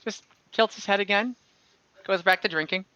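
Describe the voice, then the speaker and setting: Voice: medium pitch, normal speed, sharp tone, dry recording. A game master narrating an online tabletop roleplaying game in an improvised, conversational way. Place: online voice call. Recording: dull lo-fi online call audio.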